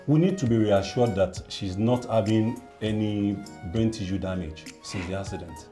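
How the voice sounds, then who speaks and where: An actor as a devout doctor giving reassurance in a drama. His voice is on the low side, with clear music underneath. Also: normal speed, dark tone, natural voice. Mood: reassuring. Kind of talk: screen drama acting.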